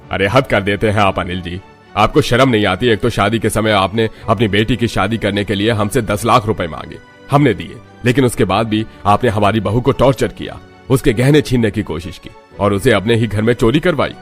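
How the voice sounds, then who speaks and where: A man acts out a proud, rich family patriarch in a moral story. The voice is low and authoritative, scolding and stern, with faint music behind. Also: fairly quick, lightly acted. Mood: angry, threatening. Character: stern, proud, wealthy father-in-law, head of family.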